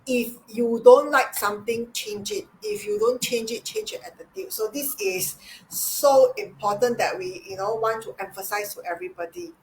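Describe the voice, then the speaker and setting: A woman from Singapore co-hosting a casual live stream, upbeat and emphatic with halting restarts. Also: a little high, expressive, slight background noise, low-quality recording. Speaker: co-host.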